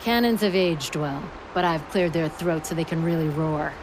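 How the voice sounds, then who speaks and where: A woman's voice: low-pitched, moderate pace, background noise, close to an everyday voice. A woman playing a bold, flirtatious, earthy metalsmith in a video game, frank and playful. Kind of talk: video game cutscene acting.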